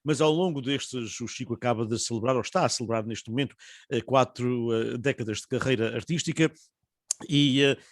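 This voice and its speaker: A man's voice: medium pitch, brisk, no echo, clean studio sound. A man moderating a panel, asking long, winding questions full of hesitations. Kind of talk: online panel interview.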